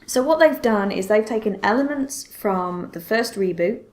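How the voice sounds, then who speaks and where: A woman's voice: medium pitch, moderate pace. Woman, podcast host, casual chat, exclamatory storytelling, broken-off sentences. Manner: animated storytelling with self-interruptions and exclamations.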